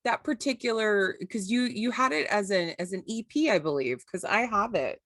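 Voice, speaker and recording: A woman's voice: medium pitch, lively intonation, normal speed, a bit bright, no room sound, studio quality. A woman from Louisiana hosting an interview podcast, asking questions in an eager, encouraging way. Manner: enthusiastic, encouraging, frequent backchannel. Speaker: podcast host. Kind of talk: casual interview chat.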